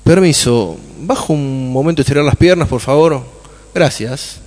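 A man playing an enthusiastic, dreamy farmer in a radio play, speaking colloquially with an Argentine accent and expressive delivery. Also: on the high side, moderate pace, natural voice. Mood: musing, determined. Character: Germán, enthusiastic dreamy male farmer from a farming family. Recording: long hall reverb.

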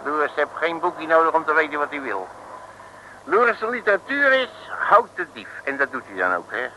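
Cocky bungling detective, very sharp forceful voice, muddled blustering speech, old comic radio serial, light hiss. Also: male voice, a little high, very animated, normal speed, full character voice, rough recording. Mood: boastful, gruff. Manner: blustering, muddles his words. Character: cocky, bumbling, blustering male working-class Dutch detective. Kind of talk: 1950s-60s comic radio serial acting. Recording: hissy old radio recording.

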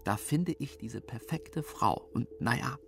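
A man's voice: mid-range voice, expressive, measured pace, vocal fry, soft background music. An actor playing a defensive, lovesick man under police questioning, hesitant, in a naturalistic radio crime drama. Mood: desperate, defensive.

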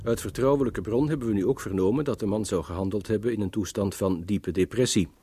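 A man's voice: lowish voice, fast talker, warm tone, slight background noise, close to an everyday voice. A man playing a sober, formal radio newsreader in a drama, reading a scripted bulletin.

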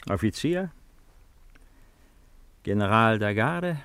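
A solemn, aging Roman emperor. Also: male voice, on the low side, expressive, slow pace, a bit bright, smooth, no echo, exaggerated voice. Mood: bitter nostalgia. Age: older. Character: aging Roman emperor, brooding, anxious, nostalgic. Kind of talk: 1950s German radio drama acting.

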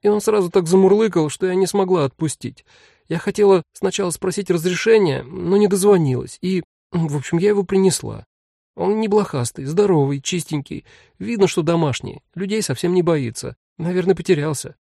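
A man's voice: high voice, brisk, gravelly, warm tone, dry recording, studio quality, pitched above the performer's own voice. A man voicing an earnest, timid twelve-year-old girl who rambles and pleads in an audiobook story. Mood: earnest pleading.

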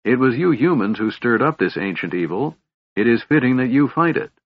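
A man's voice: mid-range voice, lively intonation, fairly quick, breathy edge, dry recording, highs cut off, voice pushed up. A man voicing a proud, stern elven elder brother in a fantasy audiobook, speaking in a haughty, formal, accusing way.

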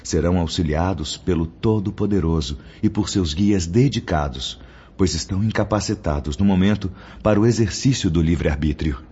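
An actor playing a calm, authoritative spirit mentor in a radio drama, speaking in a formal, didactic way. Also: male voice, sits low, moderate pace, slightly rough. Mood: instructive, grave. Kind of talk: radio drama acting, formal instructive speech. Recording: dull lo-fi audio. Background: background music.

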